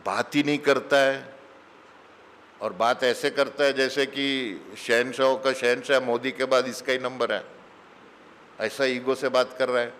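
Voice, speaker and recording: A man's voice: mid-range voice, flat delivery, fairly bright tone, slight background noise. A middle-aged man giving a conversational sermon full of anecdotes, slipping English phrases into his talk. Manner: slow, mixes English phrases into Hindi. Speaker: spiritual teacher giving satsang. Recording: long hall reverb.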